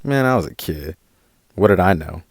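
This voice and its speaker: An actor playing a defiant eighteen-year-old activist kid brother, cocky and argumentative, in an audio drama. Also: male voice, sits low, lively intonation, moderate pace, no echo, studio quality. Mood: dismissive.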